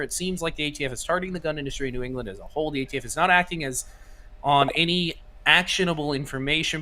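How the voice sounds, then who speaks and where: A man on a podcast reading a news item aloud, brisk. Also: fairly high pitch, bright, forceful tone, a little hiss or hum.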